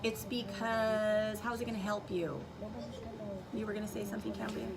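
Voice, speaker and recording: A woman's voice: mid-range voice, little pitch movement, moderate pace. A woman teacher instructing young children in a classroom, giving directions, repeating them and asking lots of questions. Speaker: primary school teacher. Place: school classroom. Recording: noisy classroom recording. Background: classroom noise.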